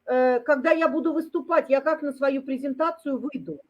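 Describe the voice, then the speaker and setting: A woman presenting at a conference, unsure of the software and asking repeated questions over a video call. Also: high voice, steady tone, normal speed. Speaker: conference speaker. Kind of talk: casual chat on a video call. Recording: dull lo-fi call audio.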